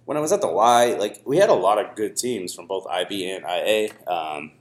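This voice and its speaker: A man hosting a podcast, chatting casually in a halting, hesitant way. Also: sits low, expressive. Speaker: podcast host. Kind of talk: casual chat.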